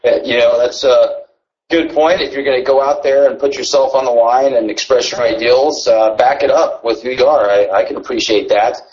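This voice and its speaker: A man hosting a call-in radio show, asking questions in a halting way with many fillers. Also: mid-range voice, steady tone, darkish tone. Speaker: radio host. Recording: dull lo-fi radio audio.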